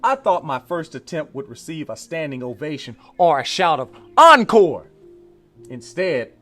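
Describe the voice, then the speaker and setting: A man's voice: fairly high pitch, normal speed, faint music behind. An American man presenting a children's read-along storybook, encouraging and emphatic as he invites listeners to join in. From Louisiana, USA.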